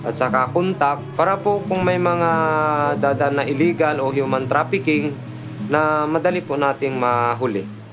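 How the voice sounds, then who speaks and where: Man, Filipino accent, slightly high voice, instructive, official in documentary interview, prominent music bed. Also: unhurried.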